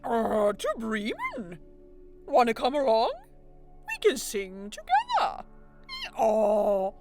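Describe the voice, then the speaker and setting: A woman voicing an old, tired male donkey in a bedtime storybook, speaking in loud, sing-song exclamations. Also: fairly high pitch, huge pitch swings, takes it slowly, faint music behind, voice pushed up, full character voice. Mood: hopeful.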